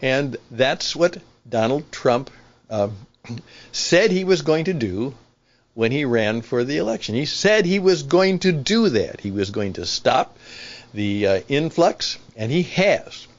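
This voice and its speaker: An older man, over sixty, hosting a solo radio-style podcast as a veteran broadcaster, talking conversationally in deliberate, emphatic phrases and often rewording as he goes. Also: medium pitch, lively intonation, muffled recording. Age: 60s or older.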